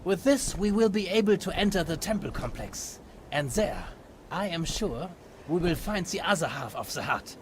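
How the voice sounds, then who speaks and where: An actor playing a proud German scientist in a video game, speaking formally and precisely with a German accent. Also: male voice, sits high, sing-song, moderate pace, background noise. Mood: grave, composed. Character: learned, proud German scientist, expedition leader. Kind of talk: video game cutscene acting. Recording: loud music behind the voice.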